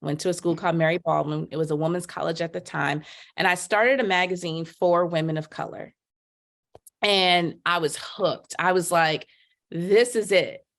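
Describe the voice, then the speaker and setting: A middle-aged woman telling her story as a podcast guest, lively, acting out quotes with big jumps in pitch. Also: normal speed. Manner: animated, voices quotes with big pitch leaps. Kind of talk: storytelling in casual chat.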